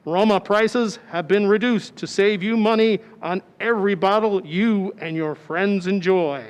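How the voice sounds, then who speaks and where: A male announcer delivers a vintage radio introduction and sponsor read in a formal, fairly high voice. He takes his time, ringing through a reverberant hall. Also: clean tone. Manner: formal, measured, salesmanlike. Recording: reverberant hall, faint music behind.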